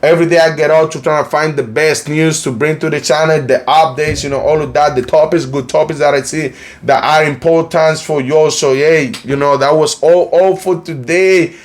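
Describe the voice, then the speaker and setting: Colombian Spanish-accented man, fairly high voice. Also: fairly even intonation, normal speed. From Cartagena, Colombia. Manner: rambling, heavy use of "you know, like", frequent restarts. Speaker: YouTube news channel host. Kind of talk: casual commentary vlog.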